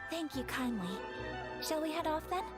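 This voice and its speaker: A woman's voice: high voice, animated, moderate pace. A woman voicing a gracious, formal young noblewoman in a video game, speaking politely and composedly. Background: game music.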